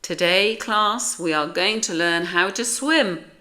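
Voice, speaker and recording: A woman's voice: fairly low pitch, slow pace, sharp tone. A British woman voicing a cheery female cat schoolteacher who addresses her class in a storybook. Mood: announcing.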